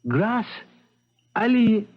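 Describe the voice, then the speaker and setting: An actor playing a genial foreign prince in a 1970s radio drama, effusively polite and naively delighted. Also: male voice, sits high, very animated, extremely slow, heavily acted. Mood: gracious, delighted. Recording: dull lo-fi 1970s radio broadcast.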